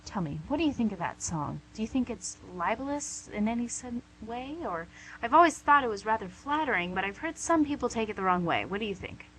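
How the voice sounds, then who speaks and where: Lady Devil, acted by a woman: sharp bright voice, smug tempting charm, comic radio play, noisy humming recording. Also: on the high side, expressive, a little fast. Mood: teasing. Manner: teasing, chatty, theatrical. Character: female Devil, playful, charming, smugly tempting businesslike boss. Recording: noisy amateur recording with hum.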